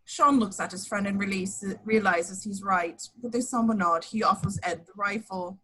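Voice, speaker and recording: A woman narrates the stage action of a script. Her voice is somewhat husky, and she reads the descriptions as scripted narration at a table read. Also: medium pitch, moderate pace, sharp tone, poor audio.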